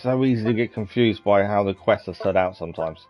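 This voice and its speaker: A man's voice: fairly low pitch, a little fast. A man giving casual live gameplay commentary, musing aloud a little hesitantly as he goes. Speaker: game live streamer. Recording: dull lo-fi mic with game music. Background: game music.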